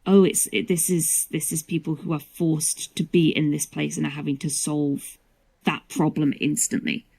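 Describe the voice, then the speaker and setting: A woman with a gravelly edge and a slightly low pitch talks casually as a guest on a livestream. She is playful and leans emphatically on her points. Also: flat delivery, normal speed, dark tone. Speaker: voice actor, interview guest.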